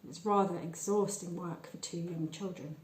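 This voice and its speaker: A woman reading a short story aloud in a measured, expressive storytelling manner with deliberate pauses. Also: fairly low pitch, echoey room, background noise. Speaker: story reader. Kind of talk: scripted narration of a short story.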